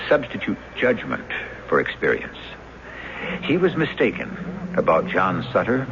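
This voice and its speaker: Deep, gravelly radio mystery host. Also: male voice, background noise. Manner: measured, dramatic, ominous. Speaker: host and narrator. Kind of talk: 1970s radio mystery narration. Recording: dull 1970s radio recording. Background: loud music.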